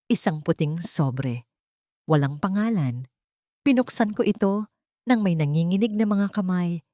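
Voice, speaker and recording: A Filipino woman narrates a dramatic first-person story in an audiobook style. Her voice sits on the low side, and the sound is very dry, with no room around it. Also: lively intonation, unhurried, warm tone, no echo.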